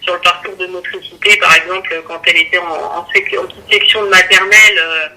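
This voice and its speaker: Male radio interviewee on a phone call, high and very bright voice, hesitant delivery. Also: steady tone. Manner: hesitant, halting explanation. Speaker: interview guest, parent. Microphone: telephone.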